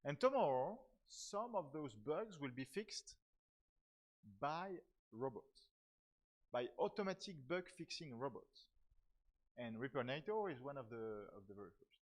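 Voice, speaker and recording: A man's voice: medium pitch, big pitch swings, measured pace, low-quality recording. Hesitant French-born male professor giving a talk. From France. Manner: hesitant, repeats words and uses many fillers. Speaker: professor of software technology. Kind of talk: conference talk. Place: conference hall.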